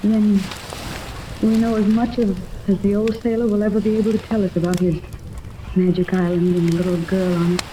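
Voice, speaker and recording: A woman's voice: medium pitch, level tone, fairly quick, natural voice. An actress playing an anxious, hopeful wealthy mother in a 1930s radio serial, with earnest, proper diction. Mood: urgent. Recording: noisy old 1930s radio recording.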